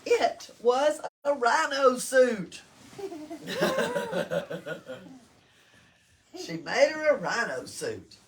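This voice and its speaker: A woman with a Southern US accent reading a picture book aloud to children, expressively, pausing to put questions to them. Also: high voice. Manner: expressive, engages listeners with questions. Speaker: storytime reader at a library. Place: indoors in a library. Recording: distant mic, noticeable room noise.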